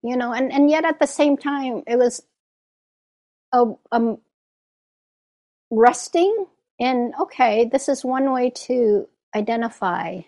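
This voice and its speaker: A woman's voice: fairly high pitch, slow, long pauses, darkish tone. A woman meditation teacher giving a reflective dharma talk, often checking in with "right?" and "you know".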